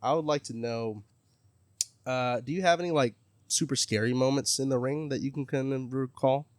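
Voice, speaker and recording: A man's voice: medium pitch, steady tone, normal speed, dry recording. A man hosting a casual interview, earnest and friendly, talking openly about his life. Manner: earnest, friendly, self-promoting. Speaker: interviewer, gaming content creator.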